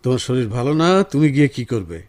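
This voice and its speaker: A man's voice: medium pitch, expressive, rapid-fire, a little mellow, no room sound, studio quality, close to an everyday voice. A man acting a busy husband in an audio drama. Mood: soothing, evasive. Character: busy husband, placating at home, later frantic. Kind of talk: Bengali radio drama acting. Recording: music playing behind the voice.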